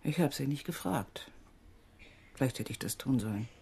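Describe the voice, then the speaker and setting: An actress playing a weary, evasive middle-aged aid organisation manager in a German radio crime drama, husky and world-weary. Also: female voice, extremely low pitch, expressive, normal speed, mellow, heavily acted. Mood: regretful.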